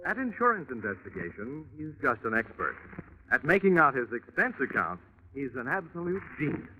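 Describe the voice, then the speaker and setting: A man's voice: mid-range voice, big pitch swings, moderate pace, very noisy. A man announcing a show in dramatic 1940s radio style. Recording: muffled vintage radio, loud music behind.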